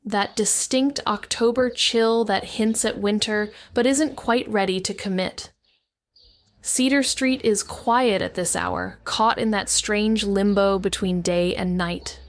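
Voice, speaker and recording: A woman's voice: medium pitch, steady tone, slow pace, no room sound. A woman playing a wry, self-mocking young sleuth back in her hometown, narrating in the first person and stumbling a little in conversation. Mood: reflective. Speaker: narrator and lead character. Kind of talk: first-person noir audio drama narration.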